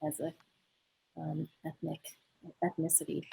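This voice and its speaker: A woman filmmaker on a remote video call, speaking reflectively and haltingly with many fillers. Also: deep voice, monotone, vocal fry, mellow, husky, poor audio. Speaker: filmmaker panelist. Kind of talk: casual roundtable talk.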